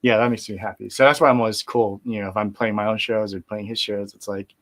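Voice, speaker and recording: Relaxed rambling man in conversation. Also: fairly low pitch, fast talker. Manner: relaxed, rambling, many fillers and restarts. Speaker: guest musician. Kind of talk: casual interview conversation.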